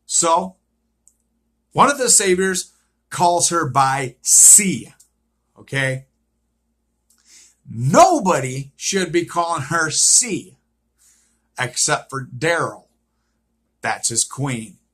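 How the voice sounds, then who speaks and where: A man with a fairly high, tense voice retells a TV episode off the cuff. He goes slowly and has a rough, husky edge. He circles back over his points and often checks in with a short questioning okay. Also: sing-song, fairly bright tone. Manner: repetitive, checks in with frequent "okay?". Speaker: vlogger recapping a TV series. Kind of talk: unscripted episode recap, casual retelling.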